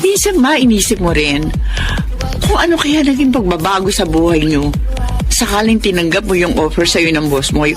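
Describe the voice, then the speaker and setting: A woman playing an outspoken, teasing best friend in a Filipino radio drama, blunt and expressive, with a Filipino accent. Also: medium pitch, moderate pace, lightly acted. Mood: chatty, curious. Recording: music bed under the dialogue.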